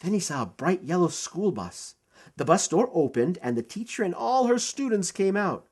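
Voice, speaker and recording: Very animated man narrating a children's story. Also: high voice, normal speed, slightly rough. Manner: expressive, dramatic emphasis. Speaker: storyteller, author reading.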